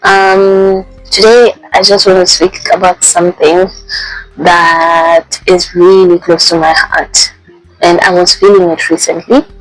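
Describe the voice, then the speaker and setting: A young woman vlogging casually, speaking softly with a voice made raspy by a flu, pausing often with ums. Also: fairly low pitch, no room sound, poor audio. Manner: soft-spoken, halting with many ums. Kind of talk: casual personal vlog. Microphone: handheld mic.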